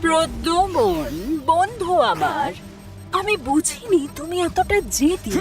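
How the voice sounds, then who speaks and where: A taunting, high-voiced hacker villain. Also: female voice, gravelly, over music, exaggerated voice. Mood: menacing. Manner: mock-friendly, menacing, drawn-out teasing delivery. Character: taunting car-hacking villain making cold threats. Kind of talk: animated crime drama acting.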